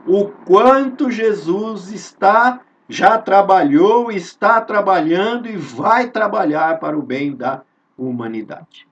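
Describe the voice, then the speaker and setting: A man's voice: sits high, animated. A Brazilian man leading a spiritist study, earnest and repetitive, asking rhetorical questions and drawing out key words. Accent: Brazilian Portuguese. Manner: earnest, repeats phrases, rhetorical questions, drawn-out emphasis. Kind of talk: informal devotional teaching. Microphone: video call. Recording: dull lo-fi sound from a distance.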